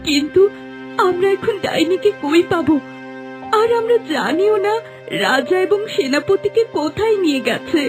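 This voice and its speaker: A young cartoon fairy-tale heroine, played by a woman, with an extremely high, girlish voice. She is gentle and polite with a plaintive edge, and music runs behind her. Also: expressive, normal speed. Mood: worried.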